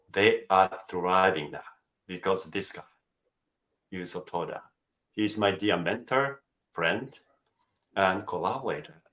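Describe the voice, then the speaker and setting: A man's voice: sits low, fairly bright tone, low-quality recording. A middle-aged man from Japan with a Japanese accent, chatting as a guest over a video call, self-joking and hesitant with fillers. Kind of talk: casual interview chat.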